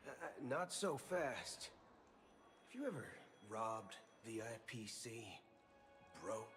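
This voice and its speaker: An actor voicing a swaggering, wary space cowboy outlaw in a video game, with a theatrical, colloquial drawl. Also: male voice, medium pitch, lively intonation, slow. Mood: confident, sly. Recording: loud game music under voice.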